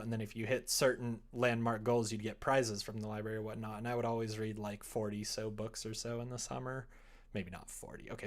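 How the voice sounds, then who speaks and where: A young man with an American accent chats casually on a livestream, rambling expressively as he reacts to comments. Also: medium pitch. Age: young adult. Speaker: live streamer. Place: indoor room at a desk.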